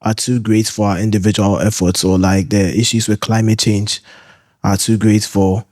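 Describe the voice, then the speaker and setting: A man's voice: lowish voice, flat delivery, normal speed, a little mellow. A man from Ghana with a Ghanaian accent, asking a question from the audience hesitantly.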